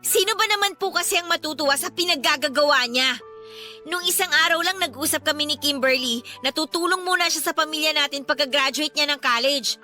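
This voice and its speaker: A high-pitched, indignant Filipino daughter. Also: female voice, a little fast, bright, forceful tone. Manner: indignant, emphatic, sarcastic. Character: frustrated, outspoken adult eldest daughter, dutiful but hurt. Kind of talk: Tagalog radio drama acting. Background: background music.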